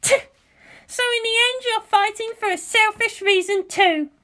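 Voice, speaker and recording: A woman voicing a fiery, haughty young female pilot in an audiobook story, sharp and challenging. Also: extremely high pitch, normal speed, pitched above the performer's own voice. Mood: scornful, defiant.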